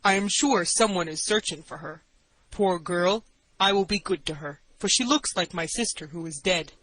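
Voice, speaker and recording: A woman's voice: lowish voice, normal speed, fairly bright tone, no room sound, rough recording. A woman voicing a kindly, authoritative male judge in an audiobook. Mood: kind, paternal. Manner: authoritative, paternal. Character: kindly male judge, harsh-toned at first, soft-hearted.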